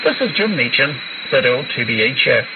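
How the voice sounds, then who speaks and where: A man's voice: mid-range voice, big pitch swings, brisk. A man reading scripted amateur radio news as a correspondent, heard over shortwave radio. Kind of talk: scripted news report read aloud. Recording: very noisy narrow-band shortwave radio reception. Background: loud shortwave static.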